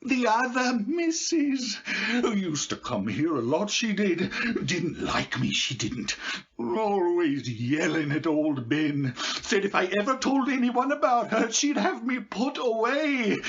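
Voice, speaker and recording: An actor playing a frightened, simple-minded old beachcomber in a radio drama, rambling and repeating himself in pleading rustic speech. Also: male voice, high voice, a bit bright, husky, muffled recording, full character voice. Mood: wary, pleading.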